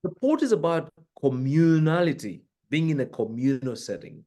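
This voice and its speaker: A man's voice: fairly high pitch, very animated, moderate pace, mellow. A man from northern Uganda, an executive guest on an interview podcast, talking earnestly and emphatically about purpose, with frequent fillers. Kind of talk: reflective conversational interview. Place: room with books behind him.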